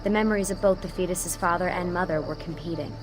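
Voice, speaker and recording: A woman's voice: on the low side, fast talker, close to an everyday voice. An actress playing a composed, serious woman who leads a covert team in a video game cutscene. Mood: explaining. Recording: clear music underneath. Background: music.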